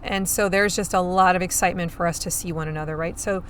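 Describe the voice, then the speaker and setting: Hoarse upbeat woman podcast host. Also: on the low side, fairly even intonation, quick pace, fairly bright tone, slight background noise. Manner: chatty and upbeat, chewing gum. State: hoarse, scratchy voice. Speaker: podcast host and farm marketing coach. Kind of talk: solo podcast talk.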